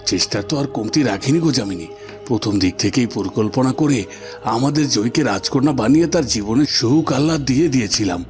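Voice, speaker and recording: A man's voice: mid-range voice, animated, quick pace, a little mellow, close to an everyday voice. A man voicing a defeated, scheming army commander and imprisoned father in a cartoon, grave and regretful. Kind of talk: melodramatic cartoon voice acting. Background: music.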